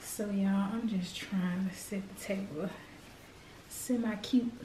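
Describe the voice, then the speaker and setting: A woman's voice: mid-range voice, steady tone, takes it slowly, warm tone. A woman co-hosting a casual cooking vlog, chatting playfully as she cooks. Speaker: vlog co-host. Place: home kitchen, indoors. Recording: echoey kitchen with noise.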